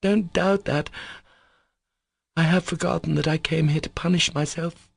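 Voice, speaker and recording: Man reading as a proud guilt-torn young woman, raised voice, fervent, halting, dry close sound. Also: fairly high pitch, fairly even intonation, gravelly, breathy edge, dry recording, pitched above the performer's own voice. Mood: anguished. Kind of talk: audiobook character voice.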